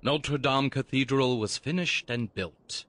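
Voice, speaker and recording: An actor playing the narrator of a spooky tale, reading in a dramatic, incantatory audiobook style. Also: male voice, mid-range voice, a little slow, lightly acted. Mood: vivid, driving. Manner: incantatory, building lists. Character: male storyteller of a spooky, vivid Halloween tale. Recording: prominent music behind the narration.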